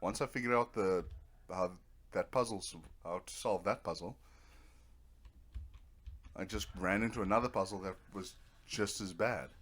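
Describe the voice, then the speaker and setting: A man's voice: lowish voice, normal speed, pauses often, fairly bright tone. A man giving casual, rambling commentary over a video game, with a dry, self-deprecating sense of humor. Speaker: video game let's player.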